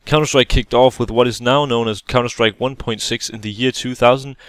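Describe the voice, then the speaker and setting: A man's voice: medium pitch, fairly even intonation, fairly quick, smooth, no echo. Man, hesitant game tutorial. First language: Danish. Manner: hesitant, many fillers and restarts, casual explaining. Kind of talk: gameplay tutorial voice-over.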